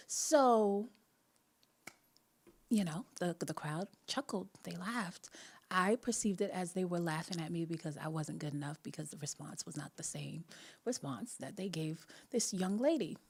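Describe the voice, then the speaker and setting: A young woman with a Jamaican accent hosting a faith podcast, telling a personal story in a casual, animated way and laughing often. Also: mid-range voice, husky. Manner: laughs often, animated, many restarts and asides. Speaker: podcast host.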